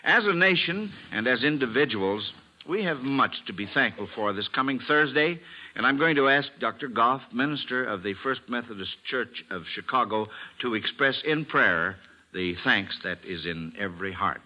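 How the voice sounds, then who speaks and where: A man's voice: mid-range voice, lively intonation, normal speed. A man with an American accent hosting a quiz show in the formal manner of 1950s radio. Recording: muffled old 1950s radio recording.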